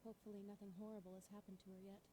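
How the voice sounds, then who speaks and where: A determined female investigator played by a woman narrates her own cyberpunk story. Her voice sits at a middle pitch, with measured, expressive phrasing and a wry edge, as in a visual novel. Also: breathy edge, lightly acted, poor audio. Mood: focused, concerned. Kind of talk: scripted first-person narration.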